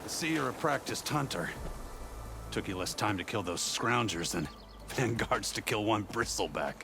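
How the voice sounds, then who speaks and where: An actor playing a talkative rustic local in a video game, speaking in a folksy, informal way. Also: male voice, on the low side, lively intonation, moderate pace, creaky, over music. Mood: impressed, exasperated. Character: rustic male onlooker, talkative, impressed and exasperated. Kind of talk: video game dialogue acting.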